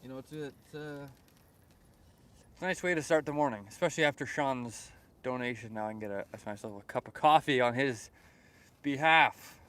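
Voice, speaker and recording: A man's voice: mid-range voice, moderate pace, fairly bright tone, clean tone. A young man from Canada chatting casually on a fishing livestream outdoors by a lake, reading comments aloud and rambling with repeated words. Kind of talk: casual live-stream chat reading comments.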